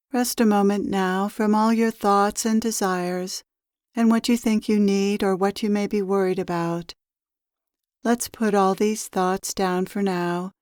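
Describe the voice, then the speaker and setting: A woman leading a channeled guided meditation, speaking calmly and soothingly in measured phrases with long pauses. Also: medium pitch, no room sound, studio quality. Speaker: spiritual teacher leading a meditation.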